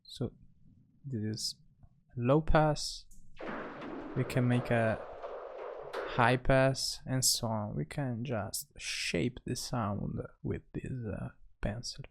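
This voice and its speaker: A man narrating a software tutorial as an instructor, speaking haltingly and pausing between short phrases. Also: mid-range voice, vocal fry, noisy background.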